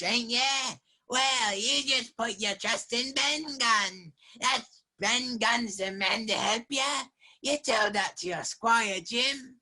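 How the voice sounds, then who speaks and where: A woman's voice: a little high, bright, forceful tone, heavily acted. A woman playing a half-wild marooned castaway sailor in a radio play, eager and rambling, with rustic sailor dialect. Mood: scheming, keen. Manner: rambling, repeats words, exclaiming. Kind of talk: children's theater radio play acting.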